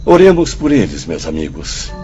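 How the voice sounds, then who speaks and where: Deep mellow compassionate Brazilian guide. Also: male voice, huge pitch swings, moderate pace, faint music behind, full character voice. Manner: earnest, explanatory. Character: male spirit guide Romeu, compassionate group leader. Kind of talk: Brazilian radio drama acting. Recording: dull sound with faint ambience.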